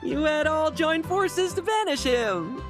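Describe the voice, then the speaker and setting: An actor playing a sardonic, self-doubting young knight in a video game, with a theatrical, teasing delivery. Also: male voice, very high voice, lively intonation, slow pace, over music, heavily acted. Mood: rueful. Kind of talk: dramatic game voice acting.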